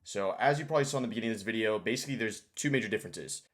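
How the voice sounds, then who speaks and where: A man's voice: on the low side, racing, fairly bright tone. A man hosting a casual screen-share tutorial, informal and thinking aloud as he goes. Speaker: tutorial host.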